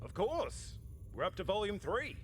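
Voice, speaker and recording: A cheeky British starship captain with a very lively, swinging intonation. He talks in a casual, blunt, slangy way with wry humour, as in a sci-fi video game. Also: sits high, normal speed, slight background noise, heavily acted. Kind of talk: scripted video game voice acting.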